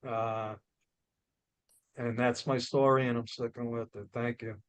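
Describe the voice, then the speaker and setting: A man with a New York accent giving a loud, blunt, emphatic motivational talk as a guest speaker. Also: medium pitch, level tone, measured pace, no room sound.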